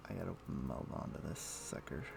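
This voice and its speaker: Male game streamer, lowish gravelly voice, halting casual commentary, muttering to himself. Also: music in the background. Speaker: game livestreamer. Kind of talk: casual livestream chat with gameplay commentary.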